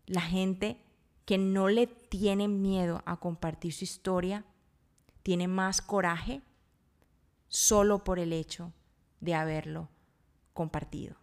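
A woman's voice: fairly low pitch, steady tone, takes it slowly, pauses often, a little mellow. A woman hosting a solo podcast, talking reflectively and informally, earnestly working out her thoughts aloud. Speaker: podcast host.

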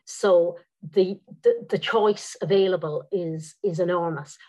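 Woman novelist, low voice, careful and hesitant, restarts mid-sentence. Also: unhurried, mellow. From Ireland. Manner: thoughtful, many repeated words and restarts. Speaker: novelist guest. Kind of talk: interview answers.

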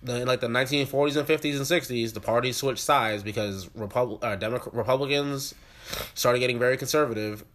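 Fast-talking congested male podcast host. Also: mid-range voice, fairly bright tone. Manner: fast, joking, profane banter. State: congested and sniffly. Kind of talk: casual podcast chat.

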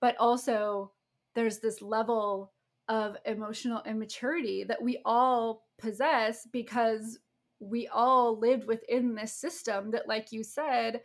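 A woman's voice: on the high side, fairly even intonation, unhurried. A woman hosting an interview podcast, answering with frequent affirmations and speaking in long, loose sentences. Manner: frequent affirming backchannels, rambling with fillers. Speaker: podcast host. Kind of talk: conversational interview.

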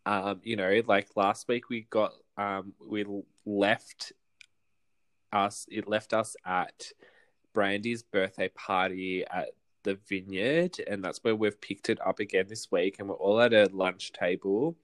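An Australian man with a somewhat low voice co-hosts a casual podcast. He talks in an animated, gossipy way and swears freely. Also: unhurried. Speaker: podcast co-host. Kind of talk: casual chat recapping reality TV.

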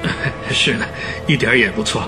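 A man's voice: medium pitch, brisk, close to an everyday voice. An actor playing a dejected, nervous male racehorse owner in a radio drama, speaking faintly with hesitant deference. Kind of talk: Chinese radio drama acting. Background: background music.

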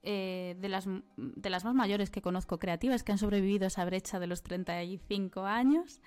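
A woman from Galicia, a marketing creative, talking as a guest in a casual interview, thoughtful and halting, laughing easily. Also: medium pitch, a little mellow. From Galicia, Spain.

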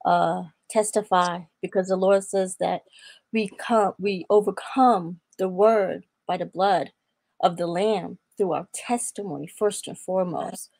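Young woman with a gravelly, mellow voice. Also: fairly low pitch, fairly even intonation, measured pace, no echo. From Atlanta, Georgia. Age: young adult. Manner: earnest, preaching tone with scripture quotes. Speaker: guest. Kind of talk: personal testimony in a faith interview.

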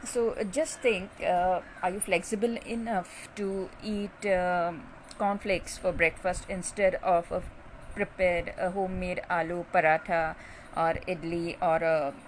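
A woman's voice: fairly low pitch, steady tone, measured pace, a little hiss or hum, low-quality recording. A middle-aged woman doctor with an Indian English accent hosts a casual podcast, speaking chattily with many filler sounds.

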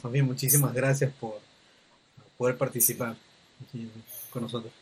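A man's voice: mid-range voice, level tone, moderate pace, darkish tone, breathy edge. Man, podcast host, casual talk, tag questions, este fillers, video call.

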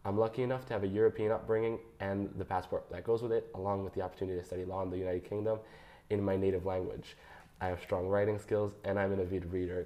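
A young man reading his personal statement aloud in a measured, careful way. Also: low voice, dark tone. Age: young adult. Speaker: guest.

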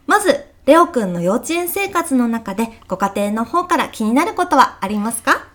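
A woman's voice: fairly high pitch, moderate pace. A woman playing a chirpy, polite but gossipy kindergarten teacher in a comedic radio drama. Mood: bright, polite. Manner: polite, effusive, animated. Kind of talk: comedic short radio drama, phone meeting.

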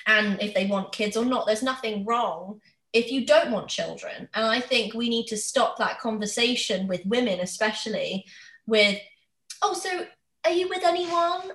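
Bright, echoey young woman chatting candidly. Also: high-pitched. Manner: chatty, candid, acts out others' questions. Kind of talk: casual conversational chat. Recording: roomy, echoey sound.

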